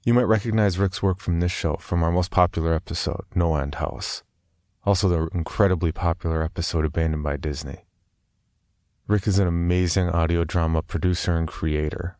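Low-voiced man hosting a podcast. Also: fairly even intonation, a little fast, a little mellow, no room sound, studio quality. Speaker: podcast host. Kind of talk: scripted podcast announcements.

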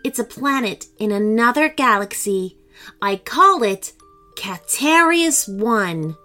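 A woman's voice: on the high side, expressive, slow, bright, forceful tone, soft background music, heavily acted. Woman doing an eager clever she-cat. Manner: enthusiastic, dreamy. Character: smart eager female street cat.

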